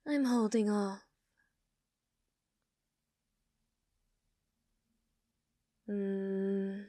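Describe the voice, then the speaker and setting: A woman's voice: medium pitch, darkish tone, no echo, natural voice. An ancient, possessive yokai woman, acted by a female performer for an ASMR roleplay, speaks very unhurriedly in a dry, close sound. She is coy and dominant, teasing her listener with sweetness that carries menace, often sinking toward a whisper. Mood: quietly menacing. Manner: slow, teasing, intimate, often dropping to a whisper.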